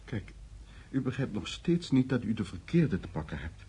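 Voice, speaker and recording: A man's voice: mid-range voice, very animated, normal speed, dark tone, breathy edge. An actor in a radio drama playing an exasperated, defensive man under interrogation, protesting his innocence with hesitant indignation.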